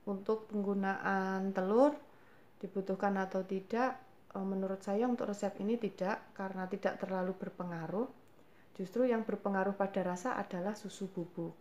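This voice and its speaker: A woman's voice: medium pitch, steady tone, normal speed, a little mellow, lo-fi sound. A woman with a Javanese accent hosting a home baking tutorial, answering viewer questions patiently with frequent hesitations. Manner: patient, explanatory, frequent hesitation fillers, addresses viewers as mothers. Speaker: cooking channel host.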